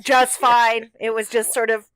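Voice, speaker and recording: A woman's voice: on the high side, level tone, unhurried, a bit bright, clean tone, no echo. A woman co-hosting a podcast in casual, chatty style, repeating words for emphasis. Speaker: podcast co-host. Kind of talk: casual chat.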